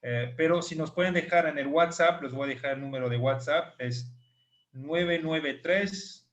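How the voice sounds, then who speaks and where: A male clinic doctor, not a native Spanish speaker, patiently explaining details and slowly repeating numbers on an online group call. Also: fairly high pitch, fairly bright tone.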